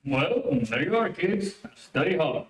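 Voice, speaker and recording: A man's voice: on the high side, lively intonation, a little slow. A man playing an exasperated, scolding father in a stern, fussy golden-age radio comedy style. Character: exasperated scolding father of a naughty little girl. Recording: echoey room, distant mic, faint noise.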